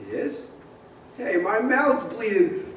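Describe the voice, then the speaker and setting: A man's voice: sits high, animated, slow pace. An actor playing an overjoyed, frantic family man in a live stage radio play, exclaiming breathlessly. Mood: frantic relief. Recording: roomy theater stage, distant mic, faint noise.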